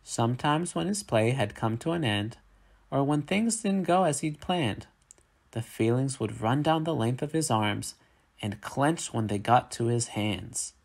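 A man reading a children's picture book aloud in an expressive, measured storytelling manner. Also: mid-range voice, gravelly.

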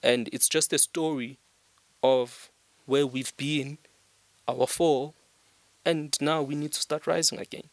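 A man's voice: a little high, fairly even intonation, slow pace, long pauses, no echo. Earnest young South African man. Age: young adult. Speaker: podcast guest. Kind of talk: conversational podcast discussion. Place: small indoor podcast studio. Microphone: microphone on a stand.

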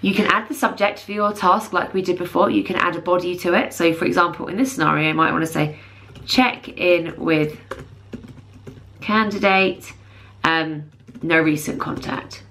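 A woman in her thirties presenting a software tutorial, explaining plainly step by step. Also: lowish voice, fairly even intonation, normal speed, a little hiss or hum, low-quality recording. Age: 30s. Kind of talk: instructional screen walkthrough.

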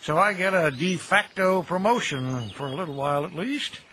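An actor playing a cheerful, chatty older cemetery caretaker with a folksy, joking, kindly manner in an audio drama. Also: male voice, fairly high pitch, expressive, moderate pace, bright, forceful tone, husky, full character voice. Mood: genial, chatty.

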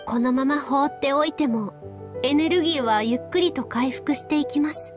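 A woman voicing a gentle, weary young priestess and strategist in a video game, speaking softly with polite, formal phrasing. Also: sits high, moderate pace, natural voice. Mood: tired, explaining. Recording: background music under voice.

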